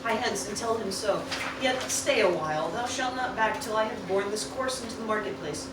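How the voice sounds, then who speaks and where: A woman's voice: mid-range voice, normal speed, lightly acted. Echoey, theatrical grieving wife played by a woman. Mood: mournful, commanding. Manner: declamatory, theatrical. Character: grieving vengeful wife delivering mock-Shakespearean speeches. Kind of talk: amateur Shakespeare-parody radio play acting. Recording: roomy, distant, clearly noisy room recording.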